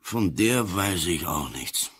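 An older man playing a gruff, cunning old police commissioner in a radio drama, slow and deliberate, weighing each word. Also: expressive, a bit bright, full character voice. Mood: dry, brusque. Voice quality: husky, very deep.

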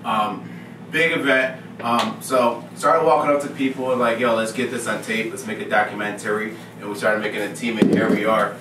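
A man's voice: lowish voice, fairly even intonation, normal speed, recorded from a distance, background noise. A man in his twenties, a podcast guest, talking up his friends in a joking, hyped-up way. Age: 20s. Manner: hyping others, joking. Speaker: guest, documentary organizer. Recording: echoey room, voice off-mic, chatter behind.

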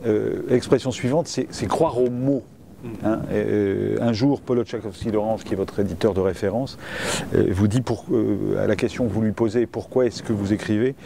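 Gravelly-voiced male interviewer. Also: on the low side, normal speed, noisy background. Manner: brief prompts, hesitant fillers. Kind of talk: interview questions. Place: indoor room by a window.

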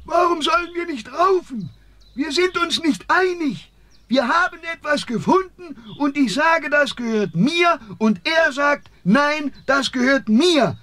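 Squeaky quarrelsome forest spirits. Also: male voice, expressive, unhurried, sharp tone, heavily acted. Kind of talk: audio drama acting.

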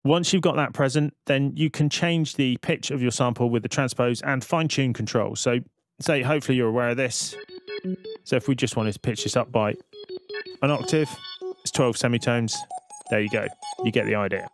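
A man giving a casual, conversational software tutorial voice-over, explaining things step by step. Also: mid-range voice, moderate pace, faint music behind. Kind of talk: casual screen-recorded tutorial narration.

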